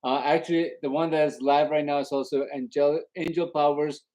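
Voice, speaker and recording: A man's voice: a little high, steady tone, a little fast, a little mellow. A Colombian man with an accent, co-hosting a live worship stream in a loose, rambling way. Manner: easygoing, rambling, with many fillers. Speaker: co-host of an online worship show. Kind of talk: casual live-stream chat. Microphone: live stream on phones and devices.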